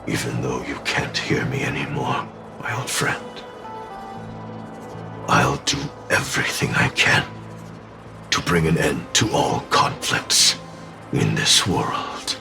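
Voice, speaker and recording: A weary, sorrowful spirit trapped in a sword for a fantasy game. The voice is bottomless and thick with gravel. Every phrase comes slowly and solemnly. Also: male voice, wildly swooping pitch, exaggerated voice. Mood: solemn, resolved. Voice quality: very deep, gravelly. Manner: solemn, slow, deliberate. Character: ancient demon bound in a sword, weary, sorrowful. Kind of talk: dramatic game dubbing. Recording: music under the voice. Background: music.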